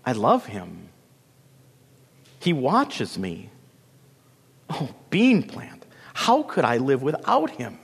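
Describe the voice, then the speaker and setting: A man voicing a small, meek, sorrowful lily flower who speaks in a soft, hesitant, plaintive way. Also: mid-range voice, wildly swooping pitch, takes it slowly, vocal fry, pauses often, husky, exaggerated voice. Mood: pleading. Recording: long reverberant hall sound.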